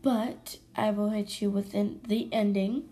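A young woman hosting her own podcast, chatting casually and rambling with self-corrections, her voice hoarse from allergies. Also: mid-range voice, steady tone, measured pace, dark tone. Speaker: podcast host. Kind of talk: casual solo podcast chat.

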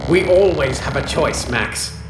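A man's voice: fairly high pitch, expressive, unhurried. A man playing a cornered villain, a former captor, anxious and pleading as he reasons, in a sci-fi audio drama. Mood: stern, reasoning. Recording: echoing room, music underneath.

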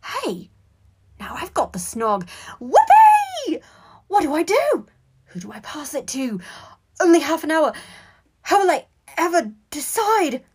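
A woman voicing an eager, gullible young schoolboy with a squeaky voice in a story reading. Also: sing-song, moderate pace, few pauses. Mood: thrilled, flustered. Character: eager, gullible, giddy young schoolboy brother, easily flustered.

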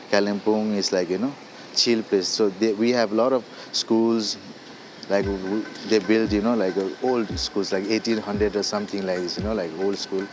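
A Nepali-speaking man with a Nepali accent, a singer-songwriter talking casually as a guest, halting and full of fillers like "you know" and "like". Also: on the low side, noisy background. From Darjeeling hills, India. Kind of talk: casual interview. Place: indoors.

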